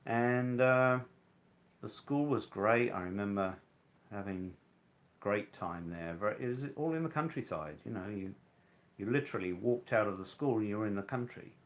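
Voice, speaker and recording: Low-voiced older man reminiscing. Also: normal speed. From Wales, then London from age eight. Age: 60s. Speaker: interviewee. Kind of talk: casual reminiscing about childhood.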